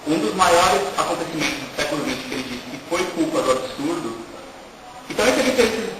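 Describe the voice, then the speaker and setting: A young man with a Brazilian accent delivers a student research talk in an informal, halting way, often rephrasing. His voice sits a little high. The recording is distant, with heavy room echo and loud noise. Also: lively intonation. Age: young adult. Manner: halting, explanatory, rephrasing as he goes. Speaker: undergraduate student presenting research. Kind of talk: informal academic presentation. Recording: echoey, noisy room, distant mic.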